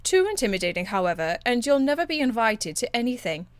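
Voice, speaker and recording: A woman's voice: fairly high pitch, big pitch swings, fast talker, dry recording. A woman voicing a proud, elegant, condescending court enchantress in a video game, with polished, haughty phrasing. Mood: amused, superior. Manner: haughty, polished, drawling endearments. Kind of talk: video game dialogue acting.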